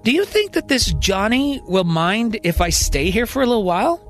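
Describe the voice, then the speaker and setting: Man putting on a high-pitched, chipper eccentric older expert. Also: big pitch swings, moderate pace, faint music behind. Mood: mild, accepting. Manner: stammering, chatty, unflappable. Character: eccentric, cheerful older male expert, curious and unfazed. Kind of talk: serial science-fiction audio storytelling.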